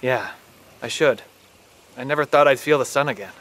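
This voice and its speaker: A man's voice: mid-range voice, moderate pace, fairly bright tone, a little hiss or hum, lightly acted. An actor playing an affectionate father and dedicated scientist in a naturalistic audio drama. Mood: reflective, warm.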